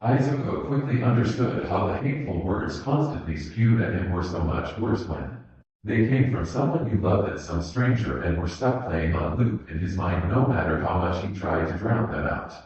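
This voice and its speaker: Deep-voiced man narrating in a very echoey room. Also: lively intonation, moderate pace, a little mellow. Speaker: fan fiction narrator. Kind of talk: scripted audiobook-style narration. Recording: muffled, very echoey room recording.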